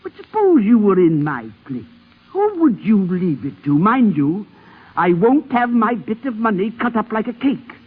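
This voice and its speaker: Husky, slow-talking ancient matriarch. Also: female voice, mid-range voice, sing-song. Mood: probing, shrewd. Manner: deliberate, imperious, needling questions. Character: very old matriarch grandmother, shrewd, fretful, commanding. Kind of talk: 1950s radio drama acting. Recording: vintage dull radio recording.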